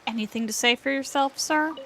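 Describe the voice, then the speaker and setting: A woman's voice: sits high, fairly quick, fairly bright tone, slight background noise. A woman streaming a video game with casual, wry, slangy commentary.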